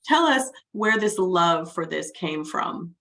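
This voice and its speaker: A woman's voice: fairly low pitch, animated, takes it slowly, a bit bright. A woman hosting a group meeting, moderating and putting questions to the members. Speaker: meeting host.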